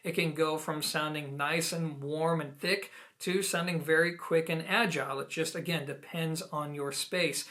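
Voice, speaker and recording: Male reviewer, somewhat high voice, conversational and emphatic, speaking straight to viewers as host. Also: fairly even intonation, moderate pace, few pauses, sharp tone. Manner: conversational, emphatic opinions, addresses viewers directly. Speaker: audio gear reviewer and host. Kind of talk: video product review, explanatory talk to camera.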